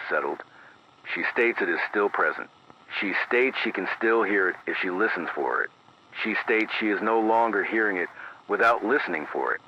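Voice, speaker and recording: A man playing a careful, weary emergency radio announcer, reading formal bulletins in a measured, deliberate way through an AM radio filter. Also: on the low side, pushed and bright, lo-fi sound, a little hiss or hum. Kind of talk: scripted emergency bulletin, analog horror radio drama.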